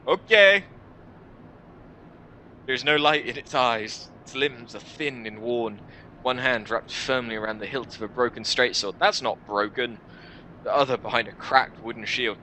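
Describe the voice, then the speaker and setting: Bright-voiced man narrating a game playthrough. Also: mid-range voice, a little hiss or hum, poor audio. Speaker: gaming video host. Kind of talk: game playthrough, reading visual novel text aloud with commentary.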